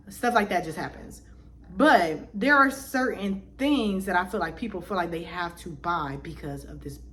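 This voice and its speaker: A woman's voice: on the low side, fairly bright tone, a little hiss or hum. Chatty young woman vlogging her opinions. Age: 20s. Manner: chatty, lots of fillers and restarts. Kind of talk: casual opinion vlog.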